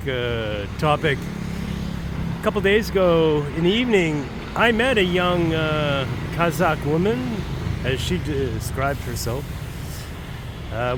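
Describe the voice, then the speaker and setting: Canadian guy rambling to his camera on a busy street, kinda high voice, talks slow. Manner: rambling, chatty, with fillers and restarts. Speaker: travel vlogger. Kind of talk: casual walking vlog. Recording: noisy street recording. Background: loud traffic.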